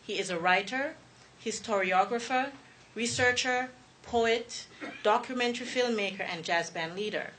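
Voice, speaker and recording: A woman reading a formal introduction to an audience, in a steady manner, her lists falling at the end. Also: a little high, moderate pace, a little hiss or hum. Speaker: event presenter introducing the speaker.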